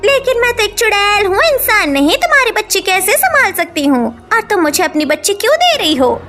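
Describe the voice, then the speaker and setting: A woman's voice: very high-pitched, very animated, a little fast, fairly bright tone, soft background music, full character voice. A woman voicing a kindhearted, anxious witch in a cartoon story, exclamatory and dramatic. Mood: anxious, startled. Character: kindhearted anxious female witch ghost turned protective mother. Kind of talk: Hindi cartoon story dubbing.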